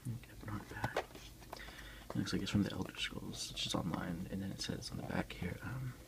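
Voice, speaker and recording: A soft-spoken man hosting a casual ASMR unboxing, hushed and often slipping into whispers. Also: deep voice, fairly quick, gravelly. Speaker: unboxing video host.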